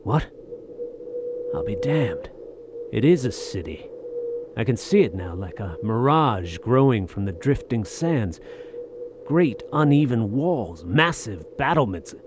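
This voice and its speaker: An actor playing a weary, hard-bitten soldier of fortune in an audio drama, speaking in folksy old-fashioned slang with grim resignation. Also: male voice, mid-range voice, big pitch swings, slow, noisy background. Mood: awed, excited.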